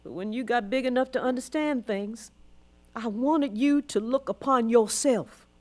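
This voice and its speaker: A weary old Southern grandmother acted by a woman in a radio drama. She tells her life slowly and deliberately in country dialect, her voice a little high. The delivery is solemn, devout and full of feeling. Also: darkish tone, lightly acted. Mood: tender, pleading.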